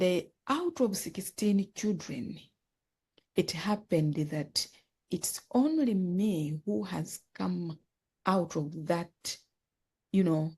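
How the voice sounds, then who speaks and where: A woman from Uganda, telling her life story as a podcast guest, earnest and halting, often repeating words. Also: on the low side, dark tone, slightly rough. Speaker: guest, author and nonprofit founder.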